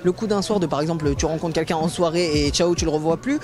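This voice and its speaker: A man's voice: a little high, quick pace. A young man interviewing passers-by on a busy city sidewalk with a handheld mic, asking long rambling questions with many fillers. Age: young adult. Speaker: street interviewer. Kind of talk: street vox-pop interview.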